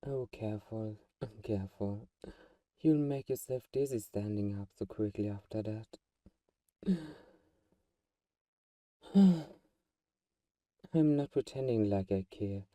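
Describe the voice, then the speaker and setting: A man's voice: on the low side, measured pace, mellow, no echo, clean studio sound, recorded from a distance, lightly acted. A man playing a tender, manipulative vampire friend in a hypnotic ASMR roleplay, coaxing and soothing with intimate endearments. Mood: calm, amused.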